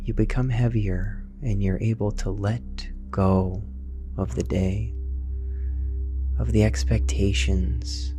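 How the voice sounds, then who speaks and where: A man narrating a guided sleep meditation in a soft, soothing, unhurried voice with long pauses. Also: lowish voice, steady tone. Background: meditation music with singing bowls.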